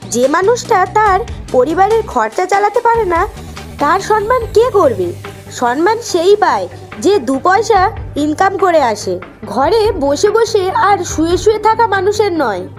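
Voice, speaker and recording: Woman acting a very high-pitched, aggrieved young wife. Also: big pitch swings, a little slow, soft background music, full character voice. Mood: indignant. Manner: complaining, insistent. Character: exasperated young daughter-in-law, outspoken, aggrieved wife. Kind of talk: cartoon moral-tale character acting.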